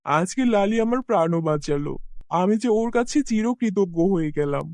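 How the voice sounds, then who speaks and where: A high male voice from an actor plays a poor village farmer in a cartoon folk tale. He is earnest and tender-hearted, full of affection. The sound is very dry, with no room around it. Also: animated, moderate pace, a little mellow, no echo. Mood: tender, devoted. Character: poor, soft-hearted village farmer devoted to his cow. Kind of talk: acted animated fairy-tale dialogue.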